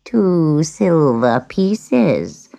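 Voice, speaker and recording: A sly old witch from a storybook, acted out by a woman, croaking and cackling with a gravelly edge. She is scornful and draws out her words, swinging widely in pitch. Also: big pitch swings, extremely slow, mellow, heavily acted. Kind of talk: children's storybook character voice.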